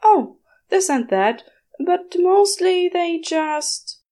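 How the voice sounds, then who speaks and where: A woman's voice: very high-pitched, animated, extremely slow, mellow, pitched above the performer's own voice, full character voice. A woman voicing a prim, cheerful male angel with effusive, old-fashioned politeness in an audiobook. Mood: prim, awkward. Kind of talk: audiobook character voice.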